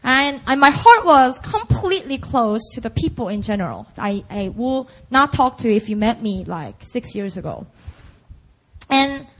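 A young woman preacher with a Korean accent and a high, bright-sounding voice. She talks to the congregation casually and with emphasis, tossing in "like" and "right?" between her points. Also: rough recording. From Busan, South Korea. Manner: chatty and emphatic, full of "like" and "right?". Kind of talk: conversational sermon.

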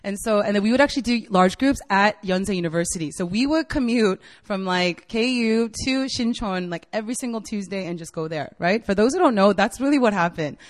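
A woman in her late twenties gives a relaxed youth sermon to a college group, her voice sitting at an ordinary middle pitch for a woman. She talks in a chatty, eager way, filling her sentences with "like" and checking in with "right?" as she goes. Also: fairly quick, hardly any pauses, in a large hall. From United States (Virginia). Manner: chatty and enthusiastic, filler-heavy with frequent "like" and "right?". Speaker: campus ministry staff speaker. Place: university meeting room.

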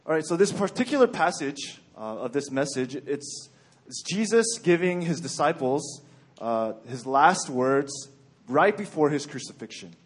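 A young man from Texas giving a sermon in a calm, even-keeled way with frequent ums and okays. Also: on the high side, a little slow, slightly rough. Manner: even-keeled, plain-spoken, frequent "um" and "okay". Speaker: church speaker.

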